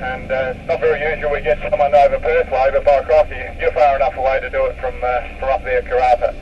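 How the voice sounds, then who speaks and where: A man's voice: mid-range voice, little pitch movement, quick pace. An Australian man chatting casually as an amateur radio operator, exchanging callsigns over FM radio. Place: mobile in a vehicle, heard over radio. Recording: muffled, noisy, distant FM radio transmission.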